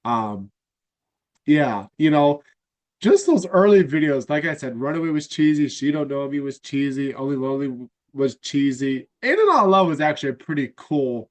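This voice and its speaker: A man's voice: fairly high pitch, expressive, normal speed. Congested nasal man chatting casually. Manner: rambling, lots of fillers and restarts. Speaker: podcast host. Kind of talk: casual fan chat.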